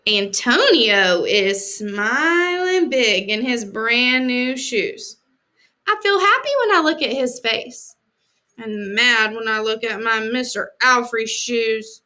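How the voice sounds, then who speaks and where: A woman's voice: fairly high pitch, sharp tone. An American woman reading a picture book aloud expressively, with dramatic emphasis and chatty, informal asides to her listeners. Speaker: read-aloud storyteller, teacher-like host.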